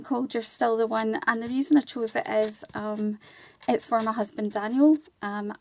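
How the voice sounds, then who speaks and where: A woman's voice: fairly high pitch, gravelly, mellow, a little hiss or hum. A woman talking conversationally as a guest in a radio interview, explaining things in an earnest way. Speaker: guest, charity volunteer and community ambassador.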